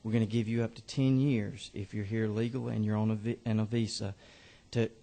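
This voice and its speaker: A man, a state representative, giving halting, earnest remarks to a committee on his bill. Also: on the low side, little pitch movement, dark tone. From Georgia. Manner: earnest, halting with many fillers.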